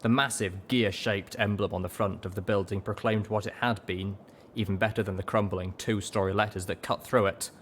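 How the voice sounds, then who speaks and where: Male audiobook narrator: lowish pitch, expressive scripted read, noticeable background noise. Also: fairly quick. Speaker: audiobook reader and radio-style host.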